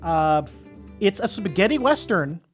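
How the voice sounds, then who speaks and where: A woman's voice: on the low side, big pitch swings, unhurried, soft background music. Rambling, casual female podcast co-host. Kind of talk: casual live podcast chat about films.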